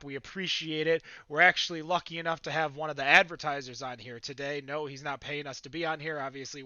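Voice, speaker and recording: A man's voice: fairly high pitch, sharp tone, no room sound, lo-fi sound. A man hosting a podcast over a video call, upbeat and rambling with fillers. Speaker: podcast host.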